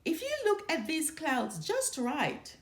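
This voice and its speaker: A woman's voice: high voice, huge pitch swings, takes it slowly, echoey room, voice pushed up, exaggerated voice. A woman voicing a boastful, competitive young boy bear cub in a storybook, with a showy, emphatic way of talking. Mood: showing off.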